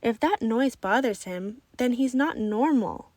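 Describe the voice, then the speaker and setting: A woman's voice: on the high side, expressive, a little slow, a little mellow, dry recording. A woman voicing a judgmental young schoolgirl with a blunt, insistent manner. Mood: annoyed, dismissive.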